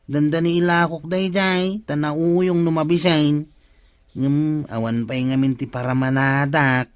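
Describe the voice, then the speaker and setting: An actor playing a stubborn, crafty village goat trader in an Ilocano radio comedy drama, with an Ilocano accent. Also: male voice, fairly high pitch, fairly even intonation, unhurried, clean tone, dry recording, close to an everyday voice. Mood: scheming.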